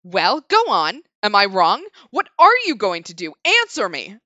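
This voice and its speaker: A woman's voice: fairly low pitch, sing-song, fairly quick, a bit bright, no echo. A woman voicing a stern older woman who scolds harshly, with a cutting tone and a raised voice.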